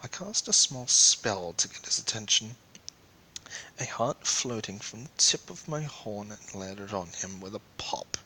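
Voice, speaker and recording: Bright-voiced man reading a story dramatically. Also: on the low side, lively intonation, measured pace, a little hiss or hum, poor audio. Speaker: amateur fan fiction reader.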